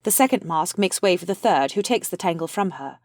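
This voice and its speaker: A woman narrates a play, reading its stage directions in a fairly low voice. The recording is dry and close, with no room around her. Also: animated, brisk, a little mellow, dry recording.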